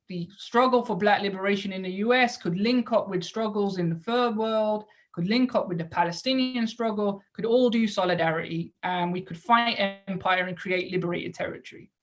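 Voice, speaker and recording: A woman's voice: mid-range voice, fairly bright tone. A woman lecturing to an audience in an explanatory way, posing rhetorical questions and adding short check-in tags as she goes.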